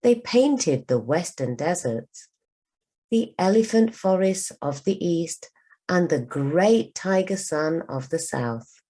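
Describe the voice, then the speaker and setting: A woman with a low voice narrates for young learners. She reads at an unhurried pace, with a lively, expressive rise and fall, like a teacher taking care over each phrase. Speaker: teacher reading a storybook aloud. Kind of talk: scripted storybook narration for learners.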